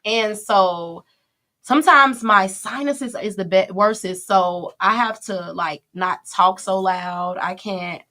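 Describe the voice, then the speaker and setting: A woman's voice: medium pitch, slow pace, bright, forceful tone. A young woman from Chicago, a guest singer chatting at length on a radio show and laughing easily. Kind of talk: casual radio interview chat. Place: radio studio.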